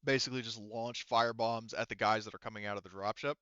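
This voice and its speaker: A man running a tabletop game as game master, explaining rules casually in a halting, self-correcting way. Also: lowish voice, steady tone, a bit bright, clean tone, no room sound, muffled recording.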